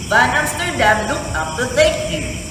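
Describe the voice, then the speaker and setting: Lively narrator in an echo-heavy hall. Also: sits high, unhurried, over music. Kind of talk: readers theater storytelling narration. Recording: very echoey hall, clear background noise.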